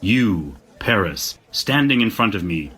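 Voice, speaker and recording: An actor playing a rousing politician giving an emphatic, theatrical speech in an audio drama. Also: male voice, lowish voice, big pitch swings, a little slow, pushed and bright, slightly rough, full character voice. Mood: solemn, rousing. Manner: oratorical, emphatic. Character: rousing male politician addressing a European crowd.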